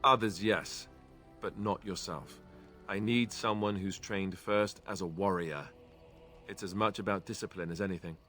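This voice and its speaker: An actor playing an earnest, gentle young warrior in a fantasy game, speaking with hesitant sincerity. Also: male voice, sits low, steady tone, normal speed, faint music behind. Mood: matter-of-fact.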